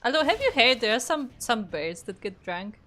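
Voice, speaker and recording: A woman's voice: on the high side, very animated, normal speed, a bit bright. A woman co-commentating an esports match in a casual, conversational and playful style. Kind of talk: casual chatty game commentary.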